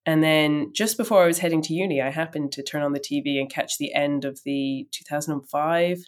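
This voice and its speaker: A woman guesting on a podcast, chatty and laughing between thoughts, a little raspy from a summer cold. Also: deep voice, steady tone, fairly quick. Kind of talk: casual conversation.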